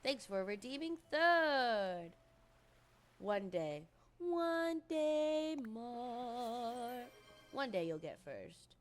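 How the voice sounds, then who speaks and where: A woman's voice: a little high, sing-song, extremely slow, faint music behind. A young woman streaming, chatting casually with viewers in a rambling way with lots of ums, her voice recovering from a cold.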